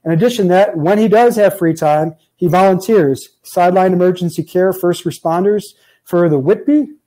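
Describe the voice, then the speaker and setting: A man's voice: high-pitched, normal speed, mellow, rough recording. A man in his forties hosting a podcast as a chiropractor, chatting upbeat and jokey over a video call with an earbud mic. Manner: upbeat, jokey, reads intro lists. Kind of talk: casual interview chat. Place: office with framed diplomas, indoors. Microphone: earbud headset on a video call.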